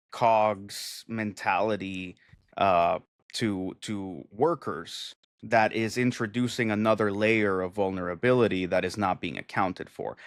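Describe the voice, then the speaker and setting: A man's voice: fairly low pitch, no room sound, clean studio sound. A man co-hosting a podcast, talking in a rambling, profane, argumentative way. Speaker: podcast co-host. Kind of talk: casual chat.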